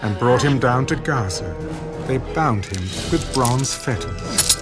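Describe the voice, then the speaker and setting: A man's voice: medium pitch, expressive, slow. A man narrating scripture as a scripted, dramatic audio production. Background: music.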